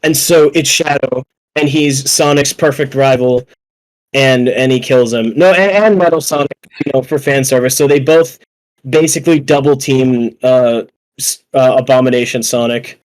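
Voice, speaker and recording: A man's voice: medium pitch, normal speed, poor audio. A man chatting casually and enthusiastically as a fan, rambling, repeating himself and swearing freely. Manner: rambling, profane, repeats phrases. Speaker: podcast guest or panelist.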